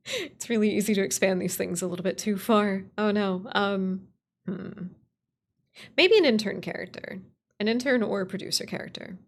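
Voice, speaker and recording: A woman livestreamer with a creaky voice talks through her work. She is casual and playful, rambling as she thinks aloud. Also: mid-range voice. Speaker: streamer, writer and voice actor.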